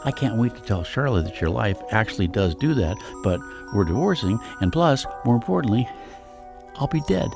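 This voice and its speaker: An actor playing a worn-out, wry older husband and father in an audio comedy, speaking in halting, exclamatory bursts. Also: on the low side, very animated, creaky, music in the background. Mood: wistful, wry. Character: exasperated, worn-out older husband and father, wryly self-deprecating. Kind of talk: stage-comedy audio drama acting.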